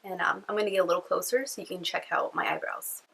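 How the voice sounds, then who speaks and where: A young woman talks to the camera at home, her voice nasal and stuffed up from a cold. Her tone is bright and forward. She rambles casually with plenty of fillers. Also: lowish voice, big pitch swings, quick pace, creaky, breathy edge, low-quality recording. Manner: chatty, rambling, lots of fillers. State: congested from a cold. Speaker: beauty vlogger. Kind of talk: casual chatty vlog. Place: at home indoors.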